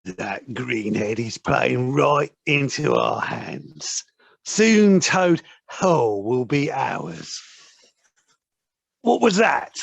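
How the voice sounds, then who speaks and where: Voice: mid-range voice, big pitch swings, drawn-out words, vocal fry, breathy edge, no room sound, exaggerated voice. An actor playing a sly, menacing boss weasel who sneers and bullies in a children's audio play. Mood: sly menace. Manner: menacing, sneering, drawling with sudden snaps. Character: sly, scheming, bullying boss weasel villain. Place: living room at home, recorded over video call.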